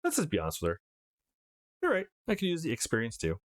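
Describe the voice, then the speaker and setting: A man's voice: fairly high pitch, huge pitch swings, fast talker, pitched above the performer's own voice. A man voicing an awkward young male protagonist of a visual novel in a casual, hesitant way.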